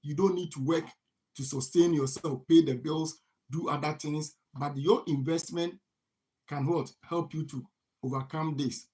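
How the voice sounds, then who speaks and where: A man with a somewhat high voice delivers a motivational money talk. He repeats phrases over and over and keeps asking the listener "what?" before giving the answer himself. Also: steady tone, a little slow, mellow. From Ghana. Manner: repetitive, poses rhetorical "what?" questions and answers them. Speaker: farming channel host. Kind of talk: motivational finance talk to camera.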